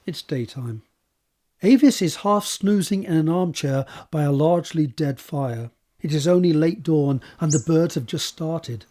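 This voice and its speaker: A man's voice: fairly high pitch, unhurried, dark tone. A man reading scripted scene narration for an audio drama.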